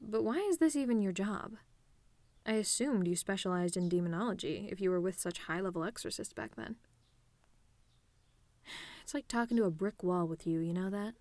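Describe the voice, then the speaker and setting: A woman's voice: mid-range voice, normal speed, pauses often, a little mellow, dry recording, lightly acted. A woman playing a cocky, sarcastic tomboy demon with a casual, teasing delivery in an audio roleplay. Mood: exasperated. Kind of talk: ASMR-style scripted roleplay monologue.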